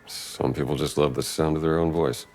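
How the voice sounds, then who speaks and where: A man playing a gruff, wry American adventurer posing as a priest in a cinematic game, with a low voice and an American accent. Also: fairly even intonation, normal speed, a little mellow, faint music behind.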